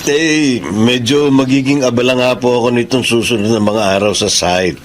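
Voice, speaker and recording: An actor playing a respectful, hardworking young engineer who speaks gently to his mother in a radio drama. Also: medium pitch, normal speed, a little hiss or hum, close to an everyday voice. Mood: gentle.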